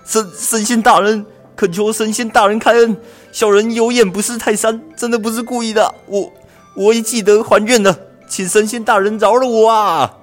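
A man's voice: high voice, steady tone, moderate pace, lightly acted. A young man playing an anxious, cheeky, lazy government clerk from ancient China in an amateur radio drama, exclaiming and pleading. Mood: terrified pleading. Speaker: student voice actor in a radio drama. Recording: music under the voice.

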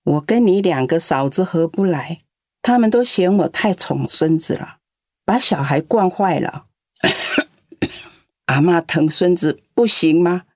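A woman's voice: fairly low pitch, expressive, slow, darkish tone, dry recording, studio quality. An actress playing a lonely, doting elderly grandmother in a radio drama. She talks in a coaxing, chatty way and coughs now and then. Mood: affectionate. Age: older.

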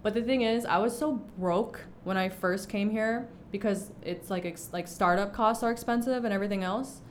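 A woman's voice: mid-range voice, moderate pace, a little hiss or hum. A young woman who grew up in Egypt, chatting casually as a podcast guest, with lots of fillers and an easy laugh. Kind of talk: casual interview chat.

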